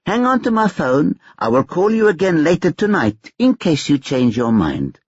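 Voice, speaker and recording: A man's voice: fairly high pitch, wildly swooping pitch, normal speed, darkish tone, breathy edge, dry recording, lo-fi sound, voice pushed up, full character voice. A man voicing a cocky, crude young male hustler who taunts and swears. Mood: taunting.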